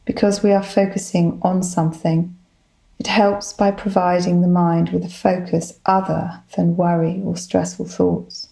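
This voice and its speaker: A woman leading a guided body scan meditation, giving gentle, soothing instructions in a calm manner. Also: lowish voice, vocal fry, poor audio. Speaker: psychologist, guided meditation leader.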